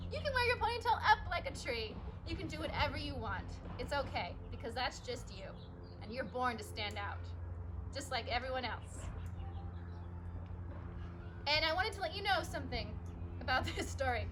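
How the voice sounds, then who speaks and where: A woman reads a storybook to young children in a high, very lively voice. She is expressive and emphatic, addressing her listeners directly like a teacher. Clear noise sits behind her voice. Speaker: teacher reading a children's picture book aloud. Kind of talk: animated storybook read-aloud with encouraging asides to children.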